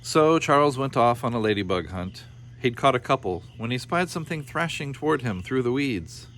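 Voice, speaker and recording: A man's voice: medium pitch, expressive, normal speed, fairly bright tone. A man reading a children's story aloud in a playful style, with informal asides. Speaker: storybook reader. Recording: clear background noise behind the voice.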